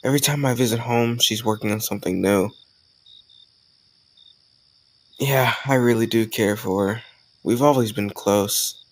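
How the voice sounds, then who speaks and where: A man playing an easygoing male college student who chats casually and teases gently in an intimate romantic audio roleplay. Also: lowish voice, normal speed, natural voice. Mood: casual, open. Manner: casual, conversational, gently teasing.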